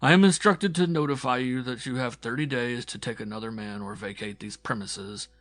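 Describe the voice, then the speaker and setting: A man voicing a big, overgrown young soldier who brings bad news, apologetic and uneasy, speaking slowly and haltingly. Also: mid-range voice, fairly bright tone, no room sound, natural voice. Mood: urgent.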